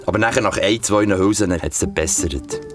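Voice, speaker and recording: A man with a Swiss German accent playing an ordinary, excitable guy who retells a horror date in an audio drama, colloquial and vivid. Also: on the low side, brisk, natural voice. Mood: nostalgic, sheepish. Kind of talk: audio drama first-person storytelling. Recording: studio audio drama with music underneath.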